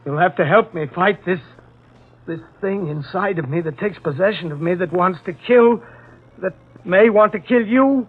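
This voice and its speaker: Tormented killer on tinny old radio. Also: male voice, sits high, breathy edge. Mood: anguished, desperate. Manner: theatrical, intense, halting menace. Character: tormented homicidal male asylum escapee, menacing and pleading. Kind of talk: old-time radio horror acting.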